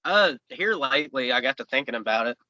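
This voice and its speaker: A man in his late twenties with a drawl talks in a loose, rambling way, full of fillers and restarts. His voice is very bright and forceful. The sound is dry and a little dull, like a podcast mic. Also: medium pitch, expressive, a little fast, no echo. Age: 20s. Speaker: professional wrestler guest. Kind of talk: casual interview chat.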